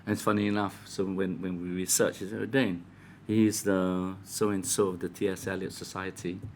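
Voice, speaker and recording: A man's voice: deep voice, level tone, normal speed, a little hiss or hum. A middle-aged Malaysian man with a Malaysian English accent, telling rambling stories about his life as a guest in a casual chat. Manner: rambling, anecdotal, many restarts. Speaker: guest filmmaker.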